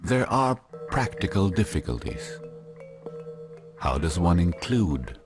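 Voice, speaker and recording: A man giving measured, deliberate scripted documentary narration. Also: low voice, very animated. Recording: narration over clear background music. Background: instrumental music.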